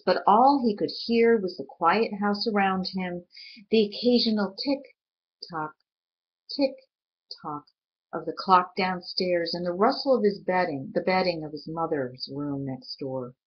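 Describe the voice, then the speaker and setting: A woman narrates a story in a low, husky voice. She reads slowly and deliberately, pausing for drama. The recording is a little dull and lo-fi. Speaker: reader. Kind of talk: storybook read aloud, expressive scripted narration.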